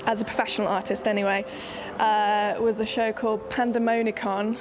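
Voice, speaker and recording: A woman's voice: mid-range voice, little pitch movement, fairly quick, background noise. A woman from Nottingham, a solo theatre maker, talking in a documentary interview in a chatty, self-deprecating way with many restarts. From Nottingham, England.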